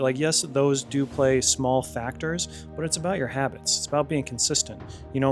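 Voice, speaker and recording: A man in his thirties, a fitness coach, talking casually and bluntly to camera with plenty of energy and the odd swear word. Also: mid-range voice. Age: 30s. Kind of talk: casual direct-to-camera talk.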